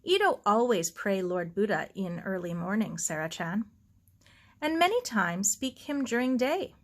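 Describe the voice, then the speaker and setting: A woman voicing a calm, devout elderly Japanese gardener who speaks slowly in broken English. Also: mid-range voice. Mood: calm, instructive. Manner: slow, broken English with dropped articles.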